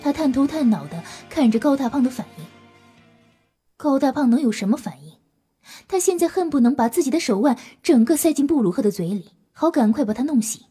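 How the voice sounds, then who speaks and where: A woman's voice: high-pitched, very animated, fairly quick, dark tone, breathy edge, soft background music. A woman narrating a scripted audio drama as a storyteller, in a dramatic style.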